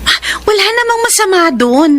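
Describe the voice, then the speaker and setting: An actress with a Filipino accent playing a cheerful, proud, hardworking woman vendor in an expressive radio drama style. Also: very high-pitched, moderate pace, heavily acted. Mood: smug, teasing.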